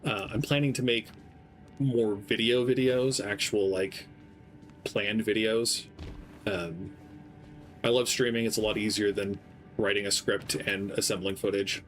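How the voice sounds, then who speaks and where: A young man with a lowish, soft-spoken voice rambles gently while streaming a game. He talks in an easy, unhurried way, filling pauses with ums. Game music plays clearly underneath him. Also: steady tone. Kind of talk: casual gameplay stream chat. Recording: game music playing behind.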